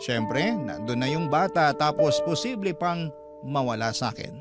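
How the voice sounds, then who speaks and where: A man with a Filipino accent reading a listener's story as a radio host, in sentimental, measured, dramatic narration. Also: on the high side, expressive. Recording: loud music under the voice. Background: loud background music.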